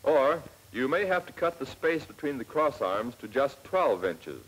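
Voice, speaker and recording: An American man narrating a 1940s military instructional film in a plain, measured, step-by-step manner, on an old film soundtrack. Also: mid-range voice, sing-song, a bit bright, husky, low-quality recording. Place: scripted voice-over for film.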